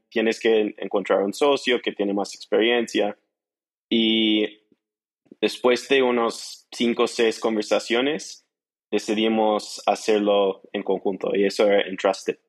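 Un hombre de unos treinta años con acento estadounidense y el inglés como lengua materna cuenta su experiencia de forma informal en una entrevista, en un español con pequeños errores gramaticales. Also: male voice, on the low side. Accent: American. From United States. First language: English. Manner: non-native Spanish with small grammar slips. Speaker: interview guest, startup entrepreneur. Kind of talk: casual storytelling in an interview.

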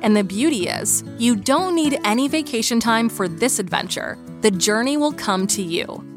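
Woman, scripted promo narration, higher pitch, announcer delivery, clear music bed. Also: lively intonation, normal speed.

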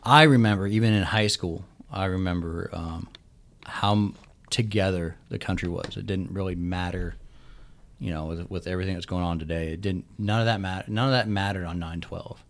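A military veteran in his thirties speaks in a very low, deep voice, his words emphatic and often restarted as he gathers his thoughts. His voice sounds worn from a recent illness. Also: steady tone, normal speed. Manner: emphatic, repeats words while thinking. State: recovering from losing his voice. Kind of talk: casual podcast conversation.

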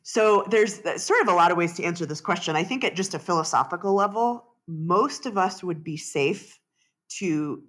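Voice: mid-range voice, animated, moderate pace, a bit bright. An author and researcher answering interview questions in a thoughtful, explanatory way, with frequent restarts.

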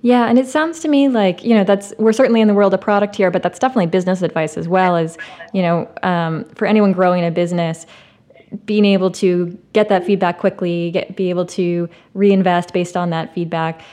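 A woman's voice: lowish voice, steady tone, brisk, dark tone. A woman hosting a podcast, talking conversationally as she interviews a guest.

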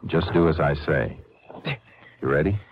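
Radio western lawman: very deep, terse, calm authority; muffled old broadcast sound. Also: unhurried, a little hiss or hum. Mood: calm, businesslike. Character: tough, steady Texas Ranger lawman, calm and commanding. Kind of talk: 1950s radio drama acting.

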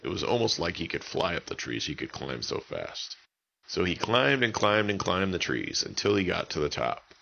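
A man's voice: low-pitched, very animated, moderate pace, creaky, sharp tone, dull audio. A man telling an improvised bedtime story for children, using simple, repetitive wording. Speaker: children's story podcast narrator.

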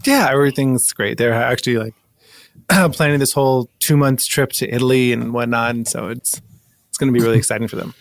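An improv comedy performer with an excitable, panicky manner. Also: mid-range voice, a little fast, no echo.

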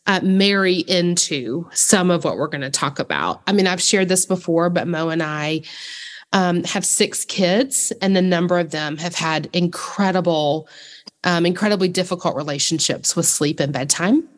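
A woman's voice: on the low side, normal speed, long reverb tail. A woman co-hosting a podcast, talking in an earnest, thoughtful way with many fillers. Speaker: podcast co-host. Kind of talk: podcast conversation.

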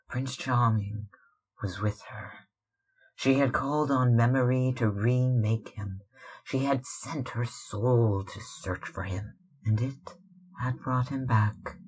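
A man reading literary fiction aloud as narrator, in a measured, dramatic way. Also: fairly low pitch, breathy edge. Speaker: audiobook reader.

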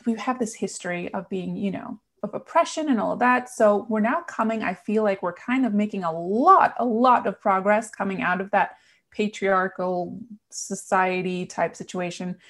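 A woman's voice: medium pitch, normal speed. A woman from New York with a transatlantic New York accent, a podcast guest who is upbeat and laughs often. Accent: New York American, transatlantic. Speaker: guest, business owner and coach. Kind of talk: casual podcast interview.

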